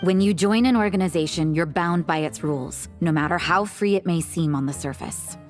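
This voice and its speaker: A woman's voice: lowish voice, normal speed, soft background music, close to an everyday voice. Woman voicing a dry, blunt young nun. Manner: dry, blunt, matter-of-fact. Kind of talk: video game voice acting.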